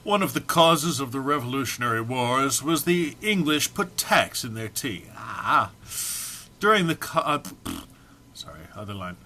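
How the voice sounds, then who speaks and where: Guy doing a dramatic reading of something funny, really animated and bright, kinda hammy amateur. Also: mid-range voice, a little slow. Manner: theatrical, often breaking into amusement and stumbling. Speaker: amateur reader. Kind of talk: dramatic reading of a humorous text.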